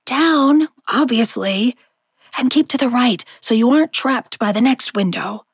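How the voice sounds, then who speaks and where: A bratty little ghost boy in a storybook reading, teasing and high-pitched, as a woman narrator puts him on. His voice is lifted well above hers and sounds dry and close, with a breathy edge. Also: a little slow, dry recording. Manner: taunting, raised high voice.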